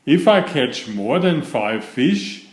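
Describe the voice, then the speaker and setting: A man voicing a contented gentleman frog from a children's storybook, musing in a genteel way. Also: mid-range voice, sing-song, takes it slowly, roomy sound. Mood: contented planning. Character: contented gentleman frog planning a fishing trip.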